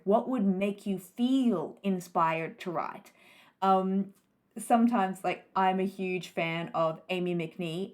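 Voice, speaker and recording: A woman from Australia, a writing coach in her thirties, answering viewers' writing questions in an encouraging, conversational way. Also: on the low side. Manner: warm encouraging, rhetorical questions. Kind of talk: Q&A advice talk to camera.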